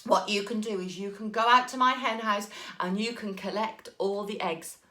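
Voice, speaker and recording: A friendly farmer from a folk tale, acted by a woman storyteller, hearty and plain-spoken as he hands out chores and thanks. The voice is bright and sits in an echoey room. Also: mid-range voice, normal speed, lightly acted. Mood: pleased. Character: friendly, appreciative male farmer handing out chores and wages.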